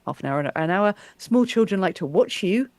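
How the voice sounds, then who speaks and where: A woman's voice: medium pitch, animated, fairly quick, no room sound. A woman chatting casually as an interviewer, thoughtful and anecdotal, often repeating words as she works out her phrasing. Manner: hesitant, repeats words while thinking.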